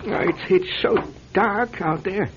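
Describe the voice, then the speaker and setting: An actor playing a fearful, paranoid old man in a radio drama, agitated, halting and repeating himself. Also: high voice. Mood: paranoid muttering. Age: older. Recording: muffled radio drama recording, faint noise.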